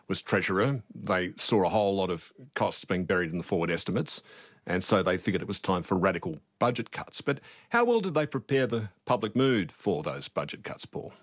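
A man hosts a broadcast interview in a lowish voice. His delivery is conversational and probing, with vivid phrasing. The recording is very dry and close. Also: no room sound.

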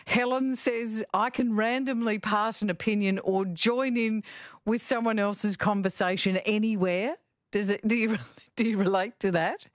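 A woman's voice: mid-range voice, steady tone, unhurried, no echo, studio quality. A woman hosting a talkback radio show, chatting with callers and laughing easily. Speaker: radio host.

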